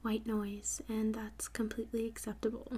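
A woman podcast host whispering softly in an ASMR style, breathy and unhurried, reading out and repeating words. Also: fairly high pitch, completely flat delivery. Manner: soft, unhurried, repeats and spells words slowly.

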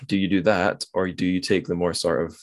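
A man with a notably deep voice speaks as a podcast host. He talks casually about books, rambling and musing, often looping back as he works out his thought. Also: flat delivery, fairly quick. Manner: rambling and musing, thinks aloud in circling sentences. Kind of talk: casual literary discussion.

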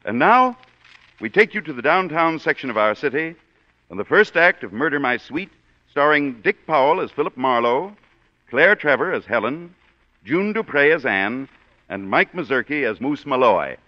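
A man's voice: medium pitch, animated, normal speed. A man hosting a radio theater broadcast, giving a formal, florid introduction in a polished announcer manner, on a dull lo-fi recording. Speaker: radio show host and producer.

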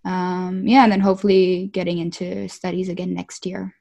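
A woman's voice: lowish voice, flat delivery, normal speed, gravelly, warm tone. A young woman who grew up in Malaysia, chatting casually as a guest in a discussion. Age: young adult. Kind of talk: casual chat.

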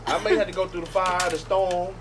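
High-pitched man, preachy and repetitive. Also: flat delivery, fairly quick. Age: older than the others. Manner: preachy, repeats "you hear me?". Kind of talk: casual group chat. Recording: noisy background.

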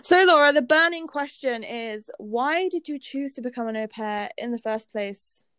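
A woman's voice: on the high side, expressive, moderate pace, a bit bright, smooth, no echo. A young woman co-hosting a podcast, talking in a friendly, rambling way. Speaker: podcast co-host. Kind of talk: casual chat.

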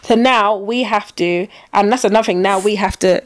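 A woman's voice: mid-range voice, normal speed, no echo. A young woman co-hosting a podcast in casual chat, rambling and correcting herself as she goes. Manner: rambling, self-correcting, swears. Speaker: podcast co-host.

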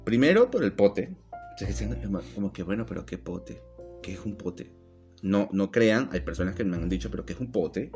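A man's voice: on the low side, brisk, soft background music. A man with a Venezuelan accent, touched by an Argentine lilt, co-hosting a casual podcast and telling stories that act out quoted dialogue. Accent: Venezuelan Spanish, partly Argentine-tinged. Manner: lively storyteller, acts out quoted dialogue. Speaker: podcast co-host.

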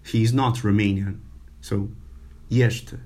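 A man who is not a native English speaker giving an informal language lesson, halting and full of fillers, repeating words for the learner. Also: lowish voice, fairly even intonation, a little mellow. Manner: slow, halting, many fillers and repetitions. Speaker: language teacher.

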